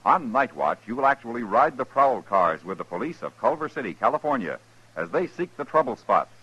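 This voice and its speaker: A man's voice: medium pitch, big pitch swings, fairly quick, sharp tone. A male announcer reading a scripted promo in formal 1950s radio style. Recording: dull, rough vintage radio broadcast.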